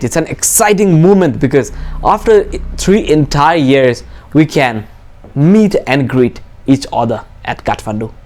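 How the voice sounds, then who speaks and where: A man's voice: medium pitch, darkish tone. A man hosting a TV talk show, formally introducing guests and reading prepared questions.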